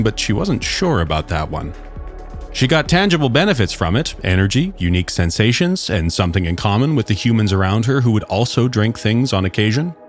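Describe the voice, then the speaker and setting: Man narrating fiction, lively pitch swings.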